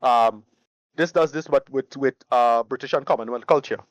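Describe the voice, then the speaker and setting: A man's voice: medium pitch, moderate pace, dry recording. A man giving casual podcast reviews, restarting phrases often and leaning on enthusiastic slang. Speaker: podcast co-host. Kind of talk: casual chat, reviewing favourite games.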